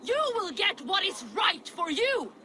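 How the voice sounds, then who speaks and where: An actor playing an enraged Viking villager who shouts accusations in a game cutscene. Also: male voice, extremely high pitch, sing-song, measured pace, slight background noise, full character voice. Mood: furious.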